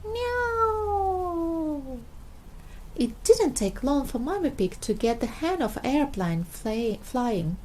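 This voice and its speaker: A woman reading a children's storybook aloud in an expressive style. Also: a little high, unhurried, few pauses, a little hiss or hum. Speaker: storybook reader.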